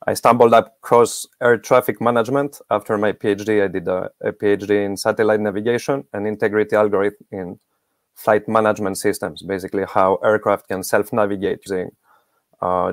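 A man's voice: fairly low pitch, dry recording. A middle-aged man giving explanatory interview answers as a guest expert on a video call. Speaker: guest expert, academic researcher.

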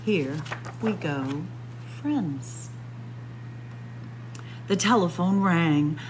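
Expressive, unhurried woman reading to children. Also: lowish voice, background noise. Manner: expressive, deliberate, addressing young listeners as friends. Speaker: librarian storyteller. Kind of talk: picture book read-aloud for children. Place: indoor library room with bookshelves.

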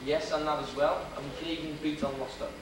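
A man's voice: a little high, steady tone, fast, fairly bright tone. A young man from Wales with a Welsh accent, giving a theatrical, joking history lecture to an audience. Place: lecture hall with projection screen. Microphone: far camera. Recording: echoey hall, distant camera, noisy old video.

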